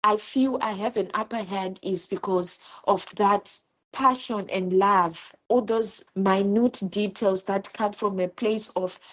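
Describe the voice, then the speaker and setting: A woman from Zimbabwe, a homeschooling mother, talking in a casual interview and telling her stories earnestly and with feeling. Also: mid-range voice. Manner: earnest, animated storytelling with repeated words.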